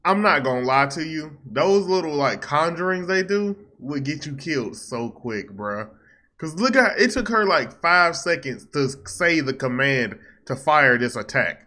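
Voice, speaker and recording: A young man giving casual, animated, slangy reaction commentary with teasing asides, close to a desk microphone in a small home room. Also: a little high, measured pace. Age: young adult. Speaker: reaction video host.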